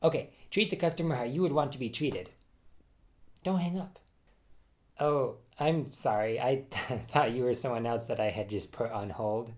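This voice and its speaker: A man's voice: medium pitch, normal speed. A man casually telling a personal story in a chatty, self-deprecating way, often laughing at himself.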